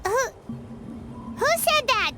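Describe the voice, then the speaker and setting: Game sidekick: feisty little fairy, squeaky child-high register, animated and cheeky, music underneath. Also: female voice, slow pace, heavily acted. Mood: startled, excited. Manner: cheeky, excitable. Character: tiny childlike fairy companion, squeaky, feisty, third-person talker. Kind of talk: video game voice acting.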